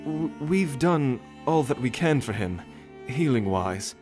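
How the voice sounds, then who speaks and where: A man acting in an ASMR audio roleplay as a doting royal caretaker, hesitant and stammering as he gently reassures. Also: mid-range voice, lively intonation, slow pace. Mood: hesitant, apologetic. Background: orchestral string music.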